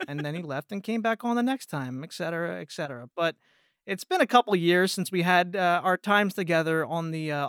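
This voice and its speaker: A man's voice: high voice, a bit bright, dry recording, studio quality. A man chatting casually as an interview guest about podcasting.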